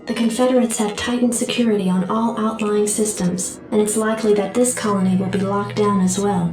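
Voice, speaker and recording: A woman voicing a formal female computer adjutant that delivers briefings and alerts in a steady voice. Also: medium pitch, a little fast, close to an everyday voice. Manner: formal, even announcements. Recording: echoey distant game audio with music.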